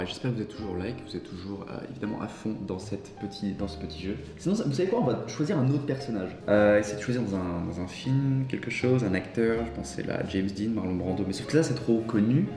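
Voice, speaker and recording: Low-voiced man reading out game questions. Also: animated, fast, few pauses, roomy sound, music in the background. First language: French. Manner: reads the game's questions aloud and answers them himself. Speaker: YouTuber playing an online guessing game. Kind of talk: casual gameplay commentary.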